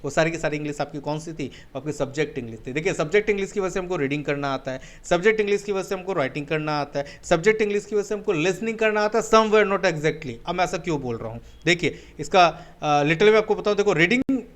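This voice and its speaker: A man's voice: fairly high pitch, fast talker, hardly any pauses. A man with an Indian accent teaching a spoken English webinar. He mixes Hindi and English, asks rhetorical questions and answers them himself, and keeps saying "my dear friend.". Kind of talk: webinar lesson.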